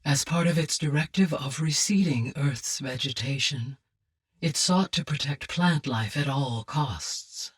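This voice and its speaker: A benevolent artificial intelligence from a video game. Her female voice is slightly breathy and sounds a little removed from the mic. She explains things calmly, in formal, exact, measured sentences. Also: deep voice, distant mic, full character voice. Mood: composed, explanatory. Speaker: game character, advisor. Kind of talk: scripted video game dialogue.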